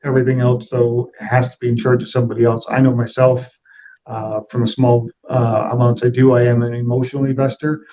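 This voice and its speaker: A man's voice: medium pitch, flat delivery, brisk, warm tone, poor audio. A middle-aged man answering interview questions in a reflective, plain-spoken, conversational way. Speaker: interview guest, aviation executive.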